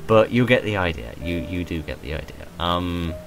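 A man in his twenties with a hoarse voice, talking in a rambling, self-deprecating way about his channel and stumbling over repeated words from a small room at home. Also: low voice, lively intonation, normal speed. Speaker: channel creator. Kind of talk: rambling personal monologue.

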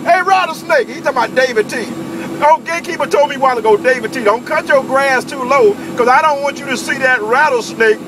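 Very high-pitched Southern man on CB radio. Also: fast talker. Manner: animated, repetitive, teasing. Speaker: CB radio operator. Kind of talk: bantering CB radio chat. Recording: very noisy CB radio transmission.

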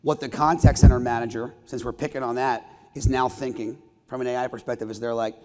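A man's voice: medium pitch, flat delivery, quick pace, a little mellow. A man moderating a conference panel, affirming answers and asking long, rambling questions. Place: conference stage with audience. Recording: reverberant event hall.

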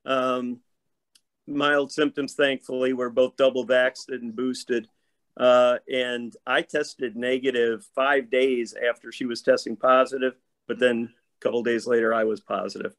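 A hoarse man in his fifties, an actor guest telling his stories in a measured, detailed way over a video call from home. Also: medium pitch, little pitch movement, fairly bright tone. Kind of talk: casual interview chat. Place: home room.

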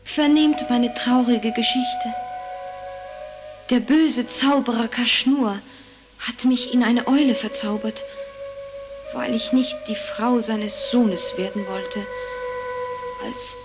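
A woman's voice: on the high side, steady tone, takes it slowly, long pauses, over music. A woman voicing a sorrowful enchanted maiden turned night owl, solemn and plaintive, in a children's puppet play. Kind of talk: German children's puppet theatre acting.

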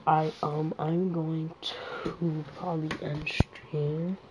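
A man streaming a game in casual chat, low-key and swearing often. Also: flat delivery, slow pace. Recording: loud background noise and clicks.

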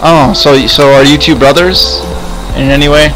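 Male gaming host, slightly high voice, hesitant improvised roleplay, repeats himself, music behind. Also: steady tone, normal speed, low-quality recording. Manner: hesitant, stammering, repeats phrases. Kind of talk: improvised in-game roleplay chat. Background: background music.

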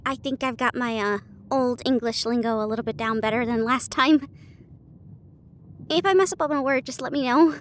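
A woman performing an intimate audio roleplay as an affectionate, bookish partner, chatting casually with hesitant pauses. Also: fairly high pitch, slight background noise. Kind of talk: intimate acted roleplay monologue. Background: ambient train sound effects.

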